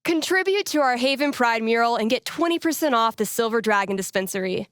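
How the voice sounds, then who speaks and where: A woman's voice: a little high, expressive, brisk, clean tone, dry recording, studio quality, close to an everyday voice. An actress playing a wry, playful young American woman in a video game, with casual radio DJ patter and a teasing way of talking. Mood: upbeat, wry.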